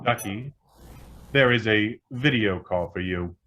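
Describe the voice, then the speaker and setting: A man playing a talking household computer in a radio play, with measured, mechanical delivery. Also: fairly low pitch, natural voice. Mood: flat, impassive. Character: talking household computer, flatly announcing questions and calls. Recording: muffled audio with clear noise.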